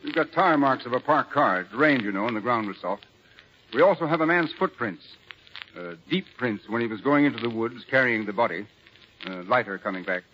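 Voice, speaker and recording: A man with an American accent acting a confident, keen district attorney in a 1940s radio drama, brisk and self-assured. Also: medium pitch, expressive, pushed and bright. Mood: confident, explanatory. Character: confident, keen male district attorney, teasing his club friends. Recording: dull, noisy old radio broadcast recording.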